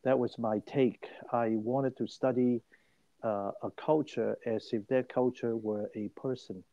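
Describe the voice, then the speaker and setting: A man's voice: mid-range voice, unhurried, no room sound, highs cut off. An older man from Hong Kong tells his life story as an interview guest. He speaks with emphasis and often restarts his phrases. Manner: animated and emphatic, many restarts.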